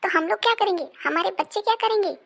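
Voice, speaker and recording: Woman doing a cartoon wife from a family drama, really high creaky voice, emphatic and melodramatic. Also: quick pace, a bit bright, heavily acted. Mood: indignant, defiant. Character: indignant married woman, sharp-tongued wife and daughter-in-law. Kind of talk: animated family moral drama in Hindi.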